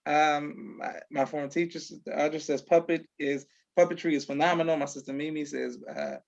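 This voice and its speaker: A man hosting a live online interview in a polite, halting manner, repeating words. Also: a little high, steady tone, gravelly. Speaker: podcast host, interviewer. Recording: dull lo-fi online call sound.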